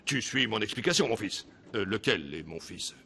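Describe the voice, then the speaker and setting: A man's voice: on the low side, animated, moderate pace, vocal fry, sharp tone, slightly rough, full character voice. A man playing a pompous, eccentric rich boss in a French-dubbed cartoon, making theatrical, grandiose declarations. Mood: indignant, grandiose.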